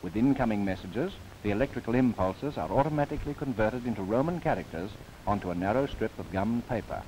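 A man's voice: fairly low pitch, expressive, quick pace, warm tone, poor audio. A man narrating a 1930s government documentary film, with playful asides. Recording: old 1939 film soundtrack with faint hiss.

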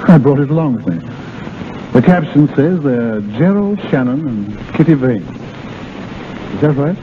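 Man acting genial doctor, lively mid voice, crackly tinny 1930s radio play. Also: mid-range voice, unhurried, close to an everyday voice. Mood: tactful, careful.

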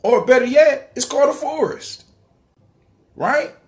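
A man's voice: very high voice, a little slow, darkish tone. A middle-aged American man hosting a casual livestream monologue, conversational, swearing casually and repeating phrases for emphasis. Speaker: livestream host. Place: dim living room indoors. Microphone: phone or webcam at arm's length.

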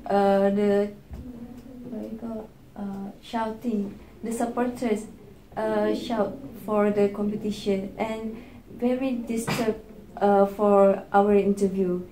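A woman's voice: medium pitch, level tone, slow pace, warm tone. A young woman, hesitant and searching for words in a student discussion. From Malaysia. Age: young adult. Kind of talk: student group reflection discussion. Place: indoor meeting room at a table. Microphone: far camera. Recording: echoey room with faint noise.

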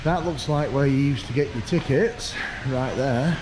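A young man giving a relaxed, chatty walking tour outdoors, pointing things out as he goes, recorded on a handheld camera. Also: medium pitch, normal speed, background noise. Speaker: vlogger. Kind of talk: casual walking tour commentary. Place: outdoors walking around a lakeside town.

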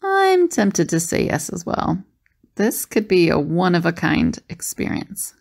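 A woman acting as a calm, curious and perceptive companion in an audio drama, with a creaky voice and probing manner. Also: on the low side, a little slow, exaggerated voice. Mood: intrigued.

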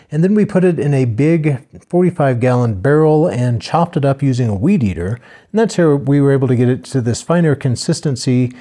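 An older man calmly narrating his own building project in a plain, matter-of-fact voice-over. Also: medium pitch. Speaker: homesteader narrating a build video.

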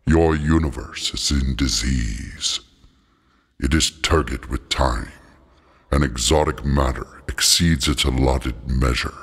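Man voicing a very deep, gravelly ancient being. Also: fairly bright tone, exaggerated voice. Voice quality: gravelly, husky. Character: ancient otherworldly being lecturing a human, solemn and cryptic. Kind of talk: audiobook character voice.

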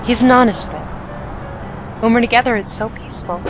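A woman playing a gentle, contented young bride-to-be in a radio drama. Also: a little high, fairly quick, natural voice, low-quality recording. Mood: fond. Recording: music behind the voice.

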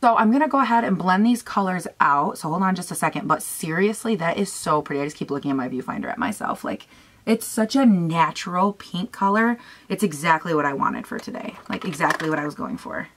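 A young woman with a raspy voice chatting casually through a makeup tutorial at home, gushing and talking to herself as she goes. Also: lowish voice, expressive, fairly quick, a bit bright. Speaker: beauty vlogger. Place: small room at home, indoors.

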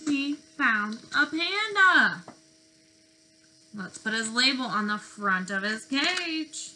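A young woman leads a read-aloud for preschoolers at an unhurried pace. Her voice sits fairly high and has a bright, clear edge. She speaks expressively, like a teacher, posing questions and leaving pauses for little listeners. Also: sing-song, a little hiss or hum, poor audio. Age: young adult. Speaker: speech-language pathologist presenting to preschoolers. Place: bedroom, indoors.

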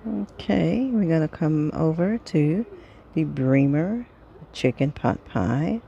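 Slow, mellow-voiced woman with creaky tone. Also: sits low, expressive, dull audio, a little hiss or hum. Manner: unhurried, item-by-item, pausing between phrases. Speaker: vlogger reading a grocery sales flyer. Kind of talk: casual product read-through.

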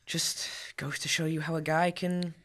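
A man's voice: a little high, little pitch movement, normal speed, fairly bright tone, close to an everyday voice. A young man playing an earnest, flustered, apologetic husband in old-time radio comedy. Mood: apologetic, dejected. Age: teens or young adult. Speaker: high school student actor. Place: school library room. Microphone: stand microphone.

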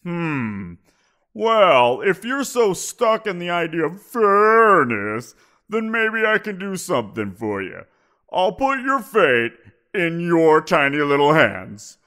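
A man performs a greedy giant creature who toys with his captive, his voice climbing and swooping with mocking amusement. He lingers over his words, slow and deliberate, smug in his power. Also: on the high side, big pitch swings, bright, forceful tone, heavily acted. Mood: teasing. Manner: playful, teasing, drawn-out. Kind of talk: audio roleplay.

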